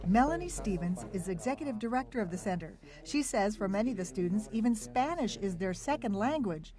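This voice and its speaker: A woman's voice: mid-range voice, animated, a little fast, dark tone. A woman reporter giving scripted, upbeat narration for a TV news feature. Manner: upbeat, clear reporter delivery.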